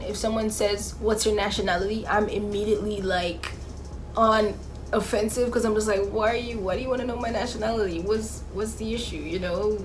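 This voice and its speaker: A woman's voice: medium pitch, a little hiss or hum. A young woman from Jamaica with a mixed Jamaican and Bahamian accent, talking casually to camera with sassy, sarcastic asides. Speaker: vlogger.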